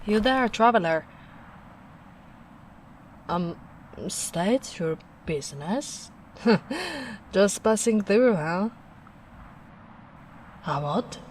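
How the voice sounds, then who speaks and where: A young woman playing a gentle, protective female ogre in a soft-spoken ASMR roleplay, speaking slowly and soothingly with quiet breathy asides. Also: medium pitch, big pitch swings, slight background noise.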